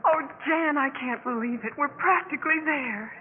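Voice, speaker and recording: An actress playing an excited, confident eighteen-year-old college girl in a 1950s radio drama, eager and exclamatory. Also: sits high, normal speed, natural voice. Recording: tinny 1950s radio broadcast with audience.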